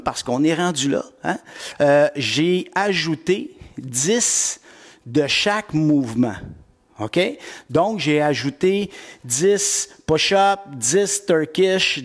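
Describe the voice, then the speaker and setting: Québécois coach guy doing a live workout stream, upbeat, calls out viewers by name, takes his time. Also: fairly high pitch. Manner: energetic, greets viewers by name. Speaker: fitness coach.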